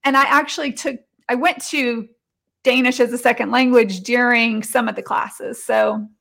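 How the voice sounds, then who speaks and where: A woman's voice: a little high, steady tone, normal speed, vocal fry, fairly bright tone. A middle-aged woman chatting casually as an interview guest, telling personal stories. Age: 40s or 50s.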